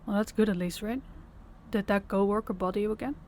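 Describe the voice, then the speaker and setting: A woman playing a weary, soft-spoken girlfriend. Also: medium pitch, fairly even intonation, fairly quick, a little hiss or hum, natural voice. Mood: tired, affectionate. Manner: soft-spoken, intimate, often near a whisper. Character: weary, tender young working girlfriend. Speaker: voice actor. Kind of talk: audio roleplay.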